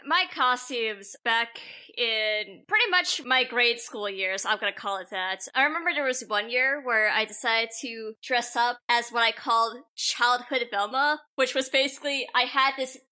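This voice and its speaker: A young woman chatting casually as a podcast co-host, telling personal stories in a halting, rambling way with many self-corrections. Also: a little high, sharp tone. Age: young adult. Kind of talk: casual chat, personal storytelling.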